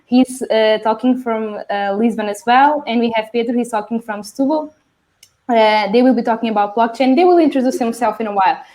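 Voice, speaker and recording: A woman's voice: on the high side, a little fast, a little mellow. A woman hosting a live online webinar, chatty, greeting viewers and reading out messages from the chat. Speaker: webinar host.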